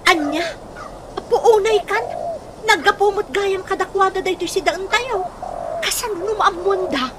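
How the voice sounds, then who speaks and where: A woman playing an excitable, questioning village wife in an Ilocano comedy radio drama. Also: very high voice, unhurried. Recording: noisy track with animal sounds.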